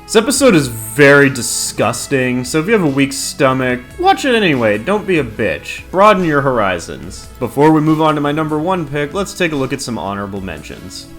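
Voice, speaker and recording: A man's voice: mid-range voice, very animated, a little fast, hardly any pauses, fairly bright tone. Male countdown host, casual and opinionated, profane and jokey, music underneath. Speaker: host of an entertainment countdown video. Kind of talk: casual, opinionated scripted review narration.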